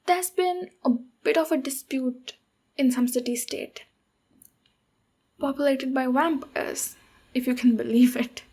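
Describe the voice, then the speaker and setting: A woman voicing a tough but protective ogre warrior in a soft-spoken, reassuring ASMR roleplay, with pauses. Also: high voice. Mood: matter-of-fact. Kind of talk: ASMR roleplay, speaking to the listener.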